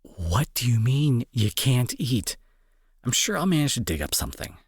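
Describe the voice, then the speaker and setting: Husky, sarcastic young bully played by a man. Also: mid-range voice, big pitch swings, normal speed, no room sound, studio quality. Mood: exasperated, scolding. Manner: sarcastic, exasperated, sighing. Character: gruff young male bully, secretly worried and protective. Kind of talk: intimate audio roleplay acting, addressed to listener.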